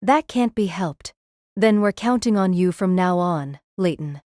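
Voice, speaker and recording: A woman's voice: lowish voice, animated, unhurried, a little mellow, dry recording. Woman as a shy teenage schoolgirl, close-recorded. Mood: shy, agreeable. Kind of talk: anime-style audio drama acting.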